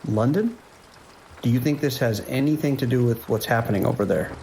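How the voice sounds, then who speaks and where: Male deputy dispatcher, acted, creaky mid-pitched voice, dry and unhurried authority, faint rain noise, radio drama. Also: close to an everyday voice. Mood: concerned, probing. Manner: dry, laconic, steady. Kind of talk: audio drama phone dialogue.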